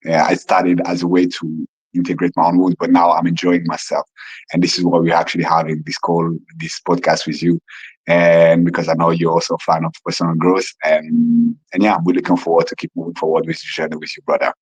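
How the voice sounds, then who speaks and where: Husky low-voiced man from Rwanda. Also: completely flat delivery, a little fast, dry recording. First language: Kinyarwanda. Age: 40s. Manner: earnest, reflective, repeats words as he gathers thoughts. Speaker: podcast guest. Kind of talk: casual remote interview. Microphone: phone. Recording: lo-fi phone recording.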